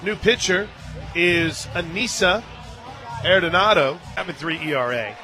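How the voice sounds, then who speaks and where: A man doing live radio play-by-play of a softball game, mixing commentary with casual banter from a booth at the ballpark. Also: high-pitched, a little slow, music in the background. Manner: bursts into excited shouts on big plays. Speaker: play-by-play sports radio announcer.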